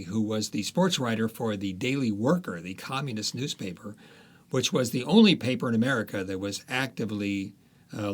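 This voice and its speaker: Low-voiced man telling history in an interview. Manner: storytelling, many pauses and fillers. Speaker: author guest. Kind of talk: interview, recounting history and plot.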